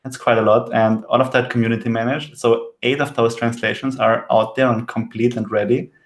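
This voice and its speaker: Flat-voiced German man, echoey room. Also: mid-range voice, brisk. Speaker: podcast panelist. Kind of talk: casual tech discussion.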